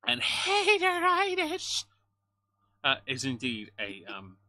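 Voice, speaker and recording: A man's voice: very high-pitched, wildly swooping pitch, slow, bright, forceful tone, no room sound. A man co-hosting live reaction commentary, blunt, sarcastic and scornful, with crude humour. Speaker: live stream commentary co-host.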